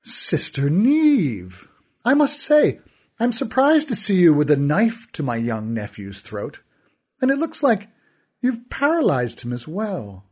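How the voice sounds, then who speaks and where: A man voicing a scheming villainous uncle, speaking in a slow, dripping, sneering way. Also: fairly high pitch, wildly swooping pitch, darkish tone, husky, voice pushed up, heavily acted. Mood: smug, mocking. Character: oily scheming villainous uncle, smug and mocking.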